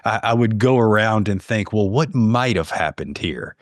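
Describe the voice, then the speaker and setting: A man's voice: fairly low pitch, animated, moderate pace, no echo, clean studio sound. A man speaking as a podcast guest in a casual, conversational way. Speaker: podcast guest, horror fiction writer. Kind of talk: casual chat.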